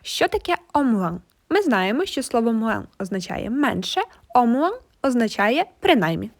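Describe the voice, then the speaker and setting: A woman narrates a language lesson. Her voice is on the high side, and she explains phrases as a teacher would. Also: big pitch swings, unhurried. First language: Ukrainian. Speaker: French teacher.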